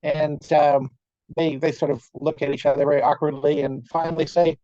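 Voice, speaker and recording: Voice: mid-range voice, little pitch movement, moderate pace, mellow, no echo. A conference speaker giving an academic lecture over a video call, with wry, dry humour and frequent fillers and restarts. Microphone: computer mic on a video call. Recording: dull, lo-fi video call audio.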